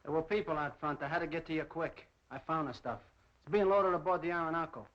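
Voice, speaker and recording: An actor playing a tense, excitable spy henchman in a 1930s film, talking urgently in short sentences. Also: fairly high pitch, fast talker, lightly acted. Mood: urgent, worried. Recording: dull 1930s film soundtrack.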